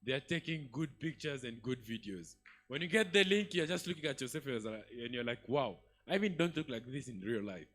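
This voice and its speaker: A man from DR Congo with a Congolese accent, giving impromptu feedback at an event, halting between his points. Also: medium pitch, lively intonation, fairly bright tone. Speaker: summit attendee giving feedback. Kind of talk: impromptu public remarks.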